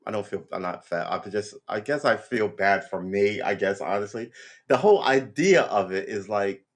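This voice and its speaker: A man in his fifties in a rambling, reflective monologue, full of restarts and "you know", and his pitch jumps when he gets worked up. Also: moderate pace. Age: 50s. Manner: lively pitch swings when animated. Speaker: vlogger. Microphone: livestream setup.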